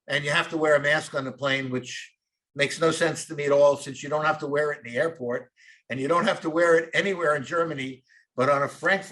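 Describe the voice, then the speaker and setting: A man's voice: fairly high pitch, a bit bright, poor audio. A middle-aged man with a New York accent, co-hosting and telling a long rambling story full of fillers. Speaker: co-host. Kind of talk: casual chat.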